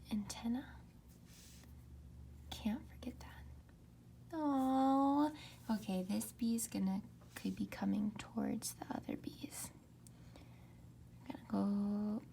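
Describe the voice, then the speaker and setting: A young woman hosting a calm ASMR painting tutorial, speaking softly and often slipping into whispers. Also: fairly high pitch, vocal fry, slight background noise. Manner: soft, often whispered, unhurried. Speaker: art tutorial host. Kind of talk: soft-spoken ASMR drawing tutorial.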